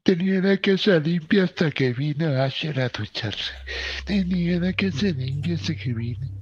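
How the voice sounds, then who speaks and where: Husky man role-playing, dull dry sound. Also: fairly high pitch, moderate pace. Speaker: tabletop role-playing player. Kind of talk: casual in-character role-play.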